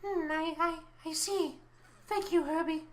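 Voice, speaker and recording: A woman acting as a self-conscious robopsychologist in her late thirties in a radio play, guarded and halting. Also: very high-pitched, fairly even intonation. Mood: flustered.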